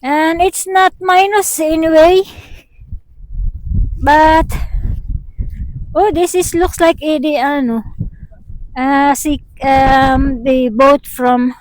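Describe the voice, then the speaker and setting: A woman with a Filipino accent, a native Tagalog speaker, giving a chatty, halting travel-vlog tour outdoors by a windy harbor. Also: sits high, steady tone, no echo, rough recording. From Philippines. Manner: chatty, halting, mixes in Tagalog, laughs often. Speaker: vlogger.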